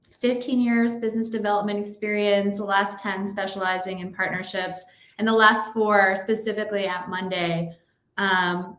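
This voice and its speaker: A woman's voice: medium pitch, fairly bright tone. A woman speaking professionally as a panelist introducing her work. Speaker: partnerships director, panelist. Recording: echoey, roomy sound.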